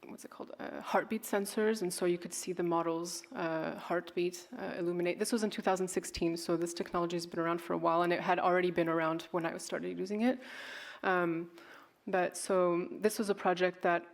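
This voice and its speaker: A woman in her thirties gives a stage talk about her own work as a designer. Her voice sits low and stays fairly level, and she speaks in an unhurried, searching way, full of pauses, ums and restarted phrases. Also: steady tone. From grew up in California. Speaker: artist and fashion designer presenting her work.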